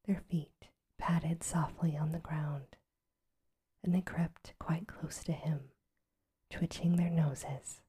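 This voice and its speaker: Woman, whispered ASMR storytelling, very slow, mellow and dark, breathy. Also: low-pitched. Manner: soft, even whisper.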